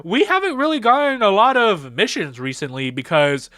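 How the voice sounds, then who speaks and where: Man doing a breezy, high-pitched easygoing coworker. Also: lively intonation, normal speed, pushed and bright, no room sound, clean studio sound. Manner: breezy, slangy.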